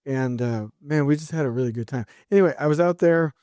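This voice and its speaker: A man's voice: fairly high pitch, quick pace, clear, resonant tone, no room sound, clean studio sound. Upbeat male podcaster, slightly scratchy throat. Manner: energetic, rambling, many restarts. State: sore throat, a bit scratchy. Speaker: podcast host. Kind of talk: solo podcast monologue.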